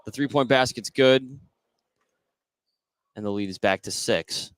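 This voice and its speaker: A man's voice: medium pitch, unhurried, dry recording. A man doing live play-by-play basketball commentary on the radio. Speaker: play-by-play announcer. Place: indoor school basketball gym. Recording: noisy courtside gym broadcast. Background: clear gym noise.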